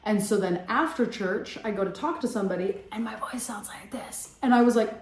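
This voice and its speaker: A woman's voice: medium pitch, brisk. Chatty young woman, echoey room. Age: young adult. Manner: chatty, animated, many fillers. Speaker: vlogger. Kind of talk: casual storytelling.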